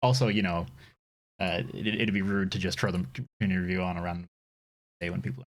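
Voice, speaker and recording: A man's voice: low-pitched, fairly even intonation, fast talker. A man streaming a game live, chatting casually, swearing freely and laughing often.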